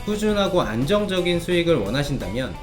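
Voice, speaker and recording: A man presenting stock market commentary with a sales pitch, polite and explanatory, stretching key words for emphasis. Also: very animated, a little fast, music in the background.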